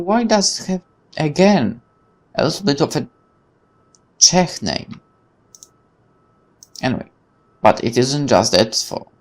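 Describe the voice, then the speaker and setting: A man hosting a let's play, reading game text aloud and adding rambling casual asides, sometimes stumbling over words. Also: medium pitch. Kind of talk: reading a visual novel aloud with casual commentary.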